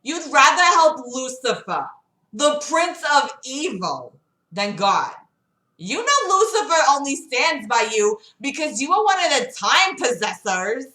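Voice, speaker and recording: A woman's voice: high-pitched, very animated, measured pace, sharp tone, pitched above the performer's own voice, exaggerated voice. A woman voicing a stern, righteous woman who reproaches a traitor in earnest tones. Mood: accusing. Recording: roomy echo.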